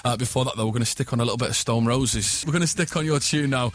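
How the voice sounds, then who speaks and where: A man's voice: mid-range voice, racing, soft background music. A man hosting a breakfast radio show, chatting casually and effusively in a studio. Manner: enthusiastic, effusive. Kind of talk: casual live radio interview chat.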